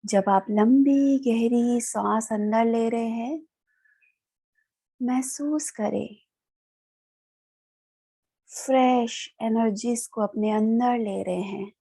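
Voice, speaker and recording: A woman with an Indian accent leads a guided meditation in a calm, soothing manner, leaving long pauses. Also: fairly high pitch, husky. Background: background music.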